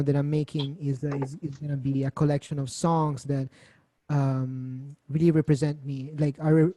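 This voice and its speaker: A young man from Italy, a musician guest, answering casually and softly with many fillers and restarts. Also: on the high side, no room sound. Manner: soft-spoken, hesitant, many fillers and restarts.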